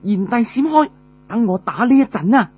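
A man's voice: very high-pitched, animated, moderate pace, husky, muffled recording, soft background music, voice pushed up, exaggerated voice. A man voicing a bold, restless young woman warrior, an exclaiming elder sister in an audiobook tale. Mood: eager challenge.

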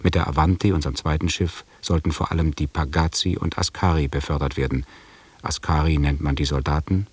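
Sober expedition chronicler narrating a radio play, really deep husky voice, dry close sound, factual. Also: male voice, no echo. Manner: measured, factual. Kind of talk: scripted descriptive narration.

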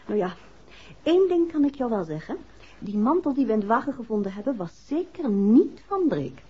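Playful inquisitive wife, high lively voice, coy, chatty, vintage radio play, dull recording. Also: female voice, moderate pace, breathy edge. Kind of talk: 1960s Dutch radio play acting.

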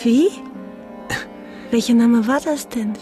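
An actress playing a reserved young French noblewoman in a radio drama, speaking in a gentle, formal, hesitant way. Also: a little high, slow pace. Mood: reserved, polite. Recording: radio drama production with music behind.

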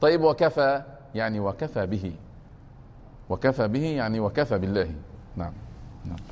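A man teaching a grammar class, explaining slowly and repeating points, often stopping to ask whether it is clear. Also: on the low side, lively intonation, darkish tone, slight background noise. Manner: deliberate, repeats points and keeps asking if it is clear. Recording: long reverb of a hall.

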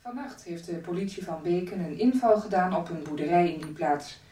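An actress playing a sober local radio newsreader, delivering a formal scripted bulletin. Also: female voice, fairly low pitch, brisk, warm tone, lightly acted. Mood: neutral, official. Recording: echoey, distant radio with clattering noise.

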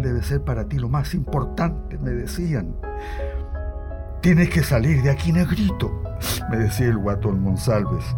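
A man's voice: medium pitch, close to an everyday voice. An actor playing a former political prisoner, narrating his captivity earnestly and emotively with a Chilean accent. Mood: impassioned. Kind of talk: dramatic scripted narration.

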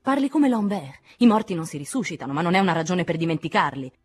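A fierce young woman acted by a female audiobook reader bent on vengeance. She is loud and harsh, pitched up and husky, pressing every demand violently. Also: big pitch swings, fast talker. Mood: indignant, angry. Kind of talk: dramatic audiobook character acting.

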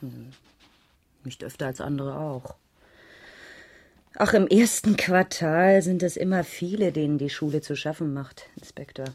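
Husky, low-voiced, weary schoolteacher. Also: female voice, measured pace, long pauses, a little mellow. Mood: weary, defensive. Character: world-weary, defensive woman schoolteacher in a slum district. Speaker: actor in a radio crime play. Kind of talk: 1980s radio drama dialogue.

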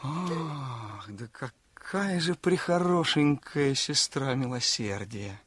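An actor playing an eager, naive young officer and younger brother in a theatrical, exclamatory radio drama style. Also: male voice, medium pitch, expressive, takes it slowly, fairly bright tone, slightly rough, dry recording, full character voice. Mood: chatty, excited. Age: young adult. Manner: exclamatory, boyishly chatty, emotive. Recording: radio play with faint music.

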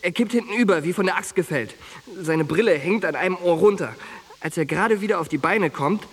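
Ein Schauspieler in einem Hörspiel spielt einen derben, eindringlichen jungen Soldaten und treuen Freund mit genervtem Temperament. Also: male voice, sits high, brisk, breathy edge. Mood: excited. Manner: blunt, coarse, insistent. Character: streetwise, exasperated young soldier, loyal friend. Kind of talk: naturalistic German radio drama acting.

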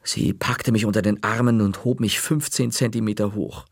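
Gravelly, expressive male storyteller. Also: lowish voice, moderate pace, no echo, clean studio sound. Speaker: narrator. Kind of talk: scripted narration of a children's story.

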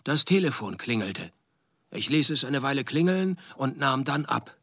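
A man playing a world-weary, sardonic private detective, narrating his case in a radio play in a dry, deadpan way. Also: mid-range voice, moderate pace, husky, no room sound, heavily acted.

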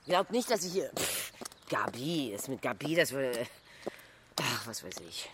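An actress playing a restless, world-weary young Parisian courtesan in a German radio play, halting and emphatic, moving between murmurs and outbursts. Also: female voice, very deep voice, big pitch swings, noisy background, exaggerated voice. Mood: agitated, desperate.

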